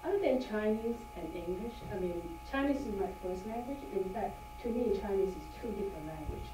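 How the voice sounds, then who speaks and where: A woman whose first language is Cantonese gives a halting impromptu speech. She is heard from far off across an echoey meeting room. Steady background noise sits under her voice. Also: medium pitch. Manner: halting, with fillers and light laughs. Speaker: club member giving an impromptu speech. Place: meeting room with long tables. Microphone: far camera.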